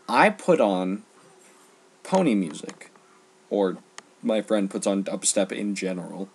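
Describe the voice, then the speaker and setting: A young man giving an earnest, exasperated, unscripted rant, speaking emphatically with halting pauses in a husky, gravelly voice. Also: lowish voice, a little mellow. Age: teens to young adult. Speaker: online ranter.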